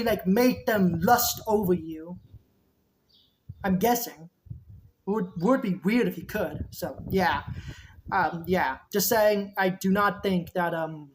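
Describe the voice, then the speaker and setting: A woman giving casual reaction commentary, chatty and rambling, who keeps saying just saying, with a sore throat. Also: medium pitch. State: sore throat, losing her voice. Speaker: video reactor.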